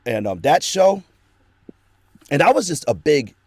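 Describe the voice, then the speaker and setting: A man's voice: fairly high pitch, very animated, measured pace, a little mellow, slightly rough, dry recording. A middle-aged man, a voice actor, chatting casually as an interview guest, telling nostalgic stories in a rambling way with many restarts.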